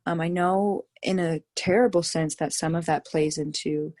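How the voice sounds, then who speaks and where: A woman's voice: sits low, steady tone, moderate pace, darkish tone. A young woman hosting a casual podcast chat, talking in a thoughtful, earnest way. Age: young adult.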